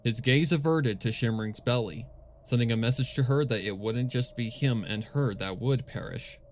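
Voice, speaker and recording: A man narrating scripted fan fiction as a storyteller, measured and solemn in his delivery. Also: mid-range voice, slight background noise.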